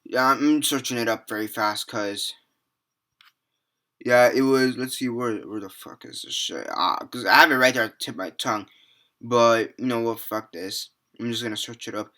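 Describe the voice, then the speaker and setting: A man's voice: medium pitch, bright, forceful tone. A seventeen-year-old young man giving a rambling, casual movie review, full of fillers, restarts and the odd swear word. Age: teens.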